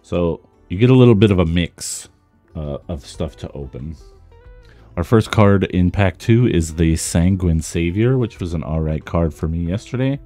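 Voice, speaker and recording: Male card-game creator, very low mellow voice with a touch of roughness, casual unboxing commentary, music underneath. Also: expressive, normal speed, no room sound. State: slightly rough voice from talking a lot. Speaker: trading card content creator.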